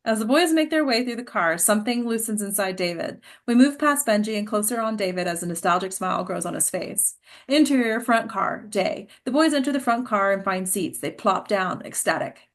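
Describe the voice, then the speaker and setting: Woman narrating a script, medium pitch. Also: lively intonation, a little fast. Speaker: stage directions reader.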